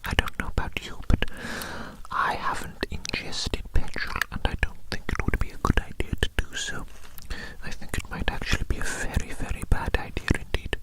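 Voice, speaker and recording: Casting: ASMR performer as doctor, fully whispered and breathy, playful, repetitive, meandering delivery. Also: moderate pace, poor audio. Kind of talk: whispered ASMR medical roleplay.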